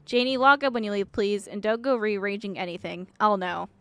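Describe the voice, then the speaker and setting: A woman's voice: mid-range voice, fairly even intonation, fairly quick, a bit bright, soft background music, lightly acted. A woman voicing a stern, scowling woman in a video game, clipped and controlling. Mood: bossy.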